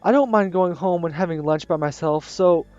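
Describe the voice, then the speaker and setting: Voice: mid-range voice, normal speed, darkish tone, no room sound, muffled recording, lightly acted. A gamer reading a visual novel aloud, voicing a shy teenage schoolgirl who pleads with a wavering voice. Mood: upset.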